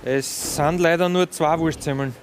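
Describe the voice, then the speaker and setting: An actor playing a chatty, self-satisfied kidnapper's sidekick in a radio play, colloquial with an Austrian accent, amid noise. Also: male voice, on the high side, steady tone, normal speed, natural voice. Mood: matter-of-fact. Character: chatty, pleased-with-himself male kidnapper's sidekick. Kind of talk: contemporary radio play acting.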